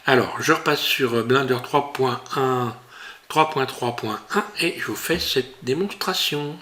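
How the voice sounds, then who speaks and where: A man teaching a software tutorial while he works on screen, talking in a hesitant, casual way full of fillers and restarts. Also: mid-range voice, measured pace. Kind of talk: screen-recorded tutorial narration.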